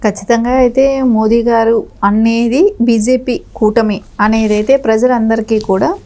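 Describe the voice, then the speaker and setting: A woman's voice: fairly high pitch, steady tone, unhurried, mellow. A woman giving emphatic political commentary as a party spokesperson in an interview.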